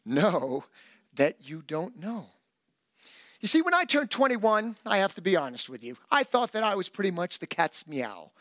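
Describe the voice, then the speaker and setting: A middle-aged man preaching a sermon as pastor, emphatic and animated, with self-deprecating humor. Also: sits high, normal speed, dry recording, studio quality.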